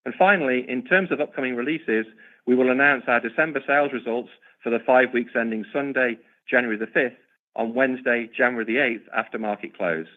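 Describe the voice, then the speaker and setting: A man speaking as a company executive, giving formal, measured prepared business remarks. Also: medium pitch. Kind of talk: prepared corporate earnings remarks. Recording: narrow, tinny conference-call line.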